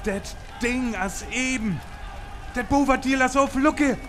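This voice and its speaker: A man's voice: extremely high pitch, takes it slowly, a bit bright, exaggerated voice. A man playing an agitated onlooker calling out in a noisy radio play scene. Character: agitated male onlooker at a crash site. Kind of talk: radio play crowd acting.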